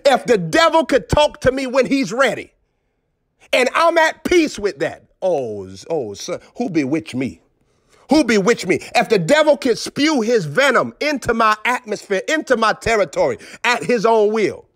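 Male preacher, high-pitched, emphatic and scolding, dry close-mic sound. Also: sing-song, normal speed, fairly bright tone, dry recording. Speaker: prophet preacher. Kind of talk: live streamed sermon.

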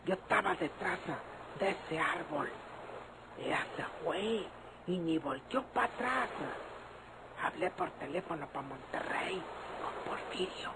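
A man's voice: fairly high pitch, lively intonation, measured pace, background noise. An actor playing a loyal, deferential Mexican henchman with rustic country speech in an old radio drama. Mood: deferential, matter-of-fact.

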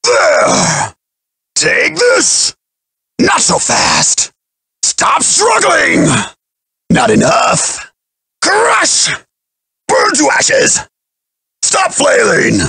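A soul-hungry undead pirate villain from a fighting game. His voice is gravelly and sharp, swinging wildly in pitch. He delivers every cruel taunt with theatrical menace. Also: huge pitch swings, drawn-out words, long pauses, dry recording, clean studio sound, heavily acted. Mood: furious, commanding. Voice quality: harsh, raspy, gravelly.

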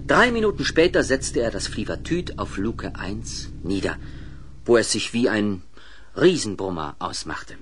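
A man narrating a children's radio play in an expressive storytelling manner. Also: lowish voice, measured pace, a little hiss or hum.

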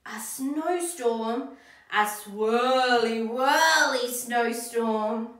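A woman's voice: a little high, extremely slow, a bit bright. A young woman reading a picture book aloud to children in a theatrical, exaggerated way. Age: young adult. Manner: theatrical, exaggerated expression with dramatic gasps. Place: small indoor classroom. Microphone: camera a short distance away. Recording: echoey room, slightly distant microphone.

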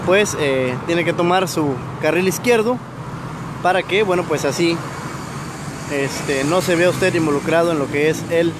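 A man's voice: on the high side, unhurried. A man gives a live, filler-heavy street report on a crash, filmed on a phone outdoors. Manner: informal reporting with many fillers. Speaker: local news reporter. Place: busy city street outdoors. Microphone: phone camera, reporter off screen. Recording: outdoor street with traffic noise.

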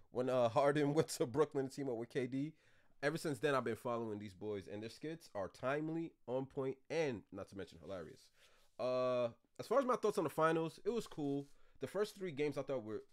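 A man's voice: medium pitch, darkish tone. A young man chats through a reaction video from his gaming chair at home. His voice swings widely up and down as he talks in loose slang. He laughs along at the clips he watches. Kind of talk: casual reaction commentary.

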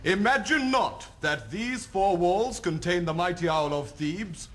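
A solemn, grandiose stage player. He declaims mock Shakespeare very slowly in a slightly raised voice. It is television comedy with faint recording noise. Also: high-pitched, animated. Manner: declamatory, drawn-out.

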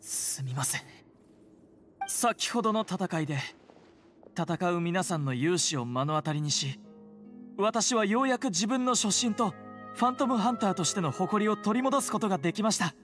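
A woman's voice: medium pitch, expressive, normal speed, close to an everyday voice. A woman voicing an earnest, timid phantom hunter in a Japanese game, hesitant, polite and formally apologetic. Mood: solemn confession. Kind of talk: Japanese anime game voice acting. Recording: music behind the voice. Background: game music.

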